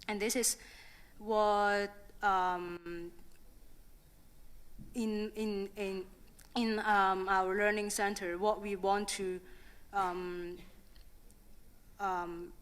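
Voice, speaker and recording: A woman's voice: mid-range voice, a bit bright, smooth. A young woman with a Chinese accent speaking on a conference panel, hesitant, with many fillers and restarts. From China. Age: young adult.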